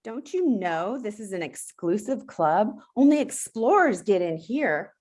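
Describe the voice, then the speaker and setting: A woman playing a bossy, excitable eight-year-old adventurer girl in a table read of an animated film, with a brash, commanding delivery. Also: medium pitch, a little slow. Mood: challenging.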